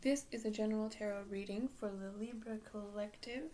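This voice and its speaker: A woman talks through a tarot reading in a relaxed, unhurried way, filling pauses with ums. Her voice is failing her, so she sounds a little strained and hoarse. A steady noise sits under the recording. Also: medium pitch. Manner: relaxed, many ums, repeats words. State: losing her voice, coughing. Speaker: tarot reader. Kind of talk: casual tarot reading to camera.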